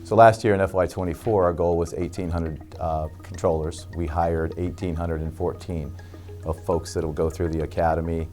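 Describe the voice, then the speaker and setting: A man's voice: low-pitched, fairly even intonation, moderate pace, dark tone, faint music behind. A man explaining things in an interview as an agency official.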